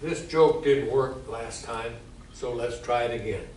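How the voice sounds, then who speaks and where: A man's voice: medium pitch, unhurried, a little mellow. A man introducing a stage show informally as emcee, with joking, dry asides. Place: live stage show in an echoey room. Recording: echoey distant hall recording with noise.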